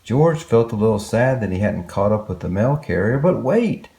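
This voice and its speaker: A man's voice: lowish voice, very animated, moderate pace, dark tone. A man reading a children's story aloud expressively, with exclamations and wondering asides to young listeners.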